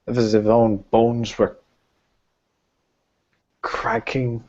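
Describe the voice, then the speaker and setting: A man playing a grave, concerned female werewolf elder in a tabletop roleplaying game, speaking haltingly and earnestly as blunt counsel is given. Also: medium pitch, completely flat delivery, a little mellow, dull audio, lightly acted. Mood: sorrowful, pressing.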